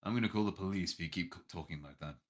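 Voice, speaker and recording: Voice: low-pitched, rapid-fire. A streamer reading a visual novel's narration aloud in a dramatic way.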